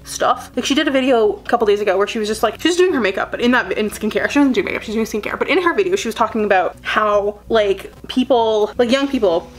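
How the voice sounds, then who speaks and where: Fast-talking young woman, rambling. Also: a little high, rough recording. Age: 20s. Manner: rambling, full of "like" fillers. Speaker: beauty vlogger. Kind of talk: chatty get-ready-with-me talk while doing makeup.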